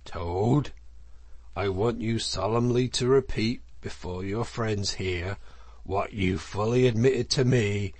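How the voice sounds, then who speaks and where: A man's voice: fairly low pitch, slow, a little mellow, no room sound. A man voicing a stern, authoritative badger from a storybook, speaking in a solemn, firm, oratorical manner. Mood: gratified, solemn. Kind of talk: storybook character voice.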